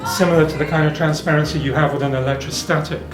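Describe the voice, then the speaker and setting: A man's voice: fairly high pitch, fairly even intonation, fast. Man presenting gear in a roomy, musical space. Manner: explanatory, detailed, technical. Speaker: exhibitor presenting hi-fi equipment. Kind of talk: informal product demonstration. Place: indoor hi-fi show demonstration room. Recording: roomy show demo room with music playing. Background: music playing.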